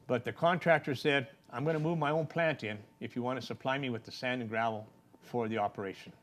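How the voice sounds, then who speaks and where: A man's voice: medium pitch, fairly quick, a bit bright. An older man from a small Colorado town, answering interview questions about his life in a plain, rambling, folksy way. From Trinidad, Colorado. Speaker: city council candidate.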